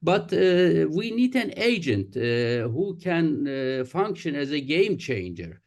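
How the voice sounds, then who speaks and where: A man asking a panelist a formal question, halting with many fillers. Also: a little high, big pitch swings, darkish tone. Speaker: audience member asking a question.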